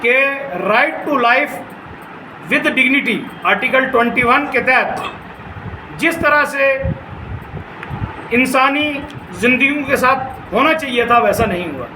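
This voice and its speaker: A man making an earnest, deliberate press conference appeal in broken phrases, mixing in English terms. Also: very high voice. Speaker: forum leader addressing a press conference. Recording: echoey room with background noise.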